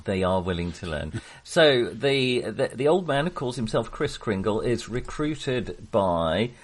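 Husky man with lively, swooping pitch. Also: a little slow. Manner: jokey, wry. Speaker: podcast host. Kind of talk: casual film discussion.

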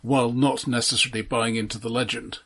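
A man co-hosting a podcast, discussing films casually in a measured, analytical and somewhat hesitant way. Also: medium pitch, flat delivery, creaky, sharp tone, breathy edge. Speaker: podcast co-host.

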